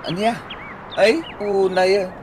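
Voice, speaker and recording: A man's voice: high-pitched, steady tone, slow pace, darkish tone. A man playing a concerned, advising friend in a radio comedy-drama, inquisitive, with an Ilocano accent. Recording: clearly noisy audio.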